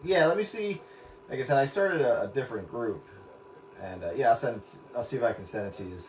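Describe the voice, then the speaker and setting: A man's voice: mid-range voice, lively intonation, fairly quick, a little mellow, slight background noise, rough recording. A man hosting an informal online get-together, chatting casually and explaining things in an informal way. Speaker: host of an online radio round-up night. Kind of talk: casual chat.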